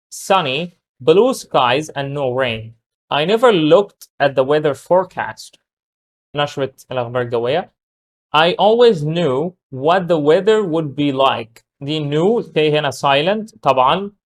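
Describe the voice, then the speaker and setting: A man with an Egyptian Arabic accent teaching English, reading model sentences slowly and explaining them in quick Arabic. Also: on the high side. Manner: switches between slow, drawn-out English model sentences and rapid Arabic explanation. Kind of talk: recorded language lesson.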